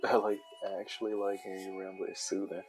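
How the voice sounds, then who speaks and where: Male streamer-artist, very low soothing voice, relaxed rambling chat. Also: quick pace, soft background music. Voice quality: deep, soothing voice. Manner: laid-back, loose and chatty, with laughs and restarts. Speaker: artist drawing a comic on a livestream. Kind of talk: casual rambling chat while drawing.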